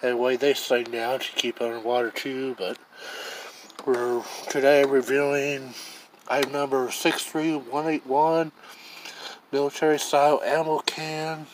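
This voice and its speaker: A man's voice: mid-range voice, level tone, unhurried, slight background noise, poor audio. Plainspoken man reviewing gear outdoors. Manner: rambling, plainspoken, thinks aloud. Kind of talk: casual product review. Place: outdoors on a wooden deck.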